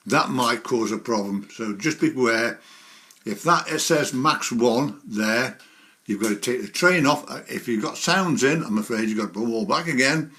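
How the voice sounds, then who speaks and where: A man's voice: medium pitch, moderate pace, a bit bright. A man giving a casual, rambling spoken tutorial as a presenter, explaining each step as he goes. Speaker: presenter of a model railway software tutorial.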